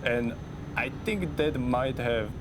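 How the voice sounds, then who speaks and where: A man's voice: medium pitch, slow. A man who speaks English as a second language, chatting casually and hesitantly as a guest on a stream. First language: non-native English speaker. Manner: relaxed, hesitant, trails off and restarts. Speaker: company community manager, interview guest. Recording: steady background noise.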